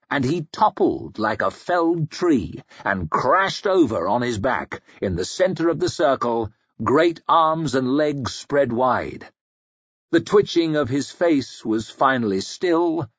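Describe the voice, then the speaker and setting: A man's voice: medium pitch, slightly rough, no room sound, lo-fi sound. A man narrating fiction as an audiobook reader, dramatic, grave and suspenseful.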